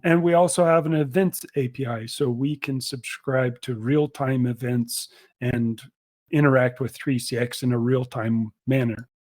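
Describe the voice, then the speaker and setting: Man presenting a software webinar. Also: mid-range voice, measured pace. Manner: explanatory, steady, with frequent ums and uhs. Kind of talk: product demo webinar.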